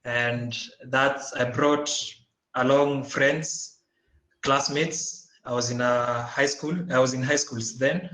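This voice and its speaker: Man, from Kenya, panelist, halting formal speech, flat pitch, roomy echo. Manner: halting, many restarts, formal wording. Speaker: panelist, social entrepreneur. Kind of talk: conference panel talk.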